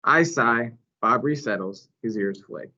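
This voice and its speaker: A man reads a children's novel aloud as a storyteller. His phrasing is dramatic and his pitch swings widely through the narration. The recording is a little dull and lo-fi. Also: animated, measured pace, pushed and bright. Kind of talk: expressive read-aloud narration.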